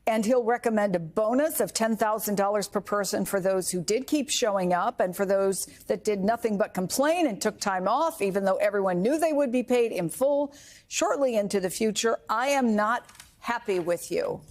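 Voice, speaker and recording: A woman's voice: mid-range voice, normal speed, darkish tone. A woman working as a TV news anchor, interviewing guests in a conversational style.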